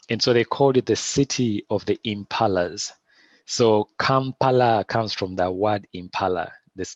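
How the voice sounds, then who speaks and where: Low-voiced Ugandan man, halting and thoughtful. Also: no echo, lo-fi sound. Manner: thoughtful, halting, with many restarts and fillers. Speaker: filmmaker guest. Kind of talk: interview answers.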